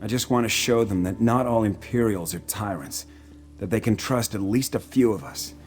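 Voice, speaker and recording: Man playing a low-voiced, earnest military commander. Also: soft background music, natural voice. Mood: resolute, sober. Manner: measured, sober, formal. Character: earnest, weary Imperial military commander, fair-minded. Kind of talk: video game character acting.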